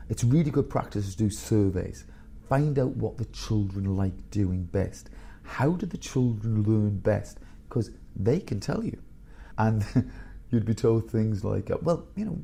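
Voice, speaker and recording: A man around fifty, a headmaster telling blunt, animated stories in a candid interview. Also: fairly low pitch, gravelly, slight background noise. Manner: blunt, animated storyteller with bursts of laughter.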